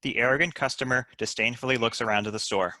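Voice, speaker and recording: A man narrates stage directions from a script. His mid-pitched voice is bright and forceful, recorded close and dry. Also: steady tone, fast, no room sound. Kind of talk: scripted narration at a comedy table read.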